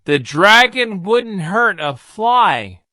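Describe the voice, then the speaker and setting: A woman's voice: medium pitch, very animated, very slow, bright, forceful tone, no room sound, studio quality, voice pushed up, heavily acted. A woman voicing an earnest, anxious young boy from a storybook, urgent and pleading.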